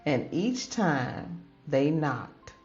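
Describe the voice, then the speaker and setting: A woman reading a children's story aloud as host, with dramatic, drawn-out phrasing in a grandmotherly manner. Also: low voice, very animated. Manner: addresses listeners as babies, grandmotherly. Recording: dull lo-fi audio with music behind. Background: background music.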